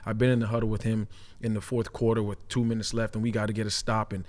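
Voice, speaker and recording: A man's voice: fairly low pitch, flat delivery, quick pace, darkish tone. A man talking casually as an ex-player turned analyst, making emphatic points and often checking in with "right?". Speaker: former player and coach, football analyst. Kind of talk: casual sports talk.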